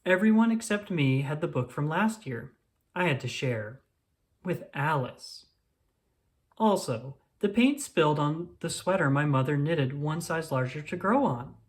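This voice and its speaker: A storyteller reading a children's book aloud in an expressive, deliberate way, drawing out words. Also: medium pitch. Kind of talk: storybook read-aloud, first-person child narrator.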